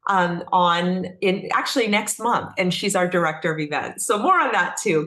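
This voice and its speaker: A woman's voice: on the low side, fairly bright tone. A middle-aged woman from Texas talking effusively and earnestly as an interview guest, telling stories in a casual conversational style. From Texas, United States. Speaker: interview guest, company founder. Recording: echoey room sound.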